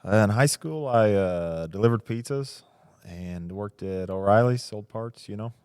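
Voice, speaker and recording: A man's voice: fairly low pitch, a little slow, darkish tone, smooth, no room sound, clean studio sound. A young man, a race car driver, answering interview questions in a laid-back, hesitant way with many fillers.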